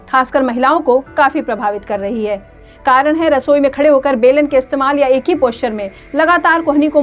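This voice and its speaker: A woman presenting a scripted news bulletin as a radio newsreader. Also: high-pitched.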